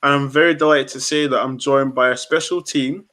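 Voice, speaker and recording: A man's voice: medium pitch, steady tone, brisk, sharp tone. A man hosting a podcast, interviewing guests in a direct way with lots of fillers and repeated words. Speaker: podcast host.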